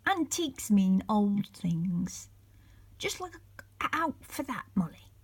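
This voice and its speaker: A woman voicing an eager, polite young boy with a brisk, decisive manner in a bedtime story. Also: medium pitch, very animated, slightly rough, exaggerated voice.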